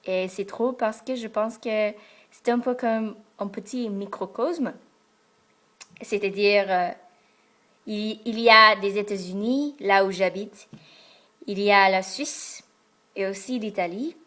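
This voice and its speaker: A young American woman from Texas, a French learner speaking to the camera in a careful, hesitant way with many pauses and fillers. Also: medium pitch. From Texas, United States. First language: English. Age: young adult. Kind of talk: casual talk to camera. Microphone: camera in front of her.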